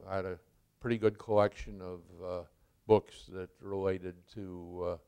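An older man from Milwaukee, a retired chemistry professor, recalling his life in an oral history interview, speaking slowly with many pauses and fillers. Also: sits low, flat delivery, darkish tone, clean tone. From Milwaukee, Wisconsin.

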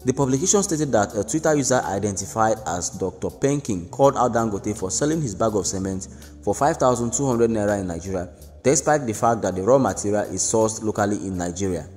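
A man narrating a scripted news report in a steady, even read-aloud delivery. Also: lowish voice, a little fast. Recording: long reverb with faint background music.

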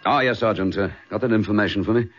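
Husky, low British police detective. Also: male voice, quick pace, soft background music. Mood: brisk, businesslike. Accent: British English. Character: male police chief inspector, brisk, methodical, courteous. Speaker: actor playing a detective. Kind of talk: radio drama acting. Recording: dull lo-fi radio drama recording.

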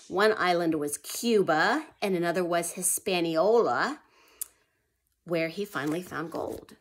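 A woman teaching history to young children, reading aloud and stopping to ask and answer her own questions, sometimes dropping to a whisper. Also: lowish voice. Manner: asks children questions and answers them herself; drops to a whisper for suspenseful parts.

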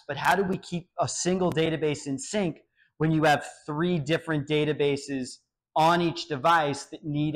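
Guy in his 30s doing a lively tech talk in a cafe, kinda high voice, picked up by a far-off camera. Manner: animated, explains with quoted example dialogue. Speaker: meetup speaker. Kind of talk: technical talk with Q&A. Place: small cafe, indoors.

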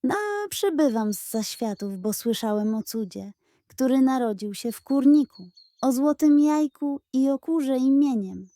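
A woman voicing a fake chicken spirit in a children's fairy tale, mysterious, pompous and full of grand flattery. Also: high voice, unhurried, no echo, clean studio sound. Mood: grandiose flattery.

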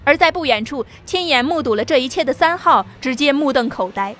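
A woman reading a science fiction novel aloud as an audiobook narrator, in a steady, vivid storytelling manner. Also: sits high, a little fast, soft background music.

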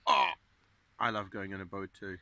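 Low-voiced father coaxing his son. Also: very animated, normal speed, creaky. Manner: encouraging, coaxing, playful with a child. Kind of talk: casual family vlog. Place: outdoors on a small motorboat on open water. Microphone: phone camera held close. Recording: windy outdoor phone recording. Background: wind noise and boat engine.

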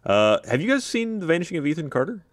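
A man's voice: fairly high pitch, very animated, fast talker, no echo. A man in his thirties co-hosting a gaming podcast, chatting casually in a playful, joking way. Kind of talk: casual chat. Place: podcast studio, indoors. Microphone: studio podcast microphone at desk.

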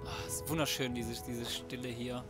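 A man's voice: mid-range voice, level tone, moderate pace. A man giving casual, slangy, cocky let's play commentary, often muttering to himself. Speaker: let's player. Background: video game music and sounds.

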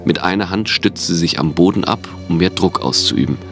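A man narrates a scripted radio play. His voice sits very low, and music plays clearly behind him. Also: moderate pace. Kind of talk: scripted audio drama narration.

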